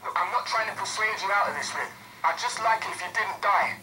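A man's voice: high-pitched, fairly quick, sharp tone, slight background noise. An actor voicing a worried male crewmate who speaks through a radio link in a game. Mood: concerned. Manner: concerned, protective. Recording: distant radio transmission in game.

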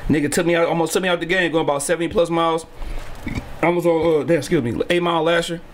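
A man's voice: on the high side, steady tone, quick pace, slight background noise. A man in his late thirties hosting a podcast in a small home studio, talking in a laid-back, slangy way. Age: 30s. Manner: laid-back, slangy, frequent "you know what I'm saying". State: sore back and neck after car crash. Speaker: podcast host. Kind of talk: casual interview chat. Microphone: studio mic on boom, headphones.

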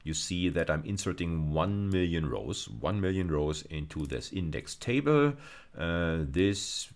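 A man's voice: low-pitched, very animated, a little slow. A middle-aged man giving a video lecture, explaining step by step and often restating key phrases. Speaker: university lecturer.

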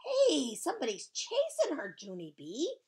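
An eager kindergarten girl in a children's storybook. Her voice is very high and swoops about, loud and excitable, bursting out in urgent, shrill exclamations. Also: a little slow, heavily acted. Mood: urgent, yelling.

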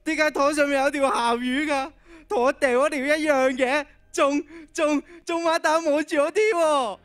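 A teenage boy playing an excitable, easily panicked schoolboy in a radio drama on stage. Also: very high-pitched, moderate pace. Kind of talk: Cantonese radio drama acting.

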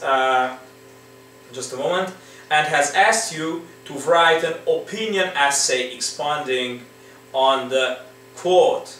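A man teaches an English exam lesson from his living room, slowly coaxing his students along. His voice sits a little high and he keeps checking in with them. The sound rings through an echoey room, picked up from a distance with faint background noise. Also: fairly bright tone. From Romania. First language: Hungarian. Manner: slow, coaxing, repeats with rhetorical "right?". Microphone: laptop or camera in front.

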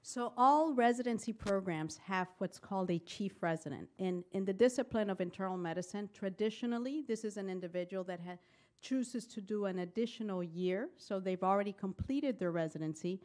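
A woman's voice: mid-range voice, brisk, darkish tone. A woman with a mid-range voice addresses a public meeting as a residency program presenter. She introduces colleagues in a semi-formal spoken style. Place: public board meeting room.

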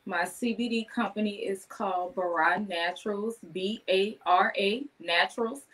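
A woman in her thirties speaks as a guest on an online talk show. Her pitch is mid-range and her delivery is casual and conversational, heard over a video call. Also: moderate pace, a bit bright, low-quality recording. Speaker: guest, wellness business owner. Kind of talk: casual chat with self-promotion. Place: indoor room on a video call.

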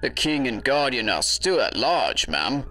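Villain's henchman, acted by a man: sharp, forceful, formal, expressive range, faint music. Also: mid-range voice, unhurried, exaggerated voice. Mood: dutiful. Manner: formal military reporting. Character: dutiful male henchman in animated fantasy villain's service. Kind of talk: animated comic dub acting.

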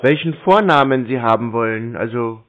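A man's voice: medium pitch, normal speed. A man chatting casually on a call, playing clueless as he handles a telemarketer. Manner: feigned cluelessness. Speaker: scambaiter taking scam calls. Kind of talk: casual phone chat. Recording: narrow phone-call audio.

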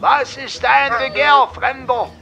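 An actor playing a mysterious gatekeeper who challenges strangers formally from inside stone in an audio drama. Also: male voice, very high-pitched, steady tone, slow, vocal fry, sharp tone, highs cut off, soft background music, heavily acted. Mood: challenging, ominous. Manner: ritual, formulaic challenge.

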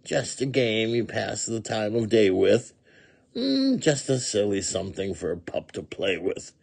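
Guy doing a storybook weasel, sneery and sly, husky, pitch all over the place. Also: big pitch swings, takes it slowly, dark tone, dry recording, exaggerated voice. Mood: sneering. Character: sly, scornful male weasel with a scary smile.

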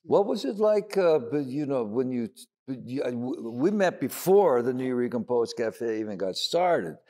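A man's voice: mid-range voice, very animated, normal speed, warm tone. A man hosting a podcast, asking a poet questions with enthusiastic brief affirmations. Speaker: podcast host. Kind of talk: interview questions.

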